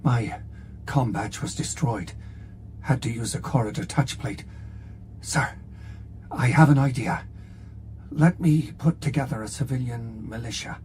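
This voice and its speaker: A man voicing a gruff, determined male station engineer who speaks in a blunt, urgent way. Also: mid-range voice, measured pace, long pauses, lightly acted. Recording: loud background noise.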